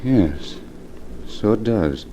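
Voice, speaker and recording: Low-voiced, expressive radio-drama husband. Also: male voice, drawn-out words, highs cut off. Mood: distant, dazed. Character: devoted husband, enchanted by a haunted house. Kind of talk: 1950s radio drama acting. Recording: noisy vintage radio drama recording.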